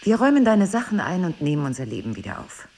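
A woman's voice: on the low side, sing-song, fast talker, dark tone, a little hiss or hum. An actress playing a patient but insistent wife who dryly teases her amnesiac husband, in a German radio play. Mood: insistent, reassuring.

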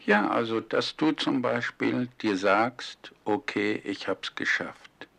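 A man's voice: lowish voice, takes it slowly, creaky, fairly bright tone. A man conducting a serious documentary interview, putting direct, probing points and questions.

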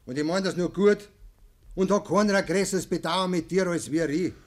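Schauspieler als selbstgerechter bayerischer Dorfbürgermeister, hohe Männerstimme. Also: male voice, sits high, moderate pace, natural voice. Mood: self-justifying. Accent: Bavarian. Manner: insistent, self-justifying, folksy. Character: self-righteous, wheedling village mayor, an upright-posing peasant man. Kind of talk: rural dialect radio play acting.

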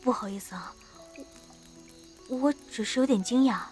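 A fairly high female voice from an actress plays a naive, friendly young village woman. She is soft-hearted and inquisitive, in costume audio drama style, with light music underneath. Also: normal speed, natural voice. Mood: flustered.

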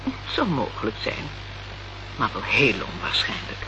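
A woman's voice: extremely low pitch, huge pitch swings, normal speed, full character voice. An actress playing a cool, guarded woman of a political movement in a radio play, formal and evasive. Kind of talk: Dutch radio play acting. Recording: dull, noisy old radio play recording.

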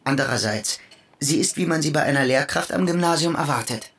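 A reserved grown son in a radio play, husky, pleading for cash from his mom. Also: on the high side, brisk, fairly bright tone. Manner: restrained, turning pleading. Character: adult son asking his widowed mother for money. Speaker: actor playing Adolf, a grammar-school teacher.

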